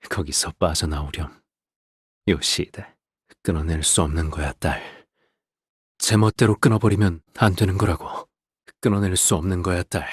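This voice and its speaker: An actor voicing a controlling, world-weary father and menacing swordsman in a video game, husky and deliberate, speaking with ominous softness. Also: low-pitched, dry recording, clean studio sound. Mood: stern, insistent. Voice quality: husky, breathy. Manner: slow, deliberate, ominously soft-spoken. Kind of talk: dramatic game character voice lines.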